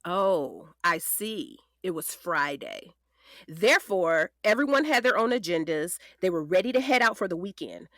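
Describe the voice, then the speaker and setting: A woman playing a sharp, determined defense attorney in an audio drama, sarcastic yet caring, with pointed theatrical delivery. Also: lowish voice, big pitch swings, moderate pace, dry recording, clean studio sound.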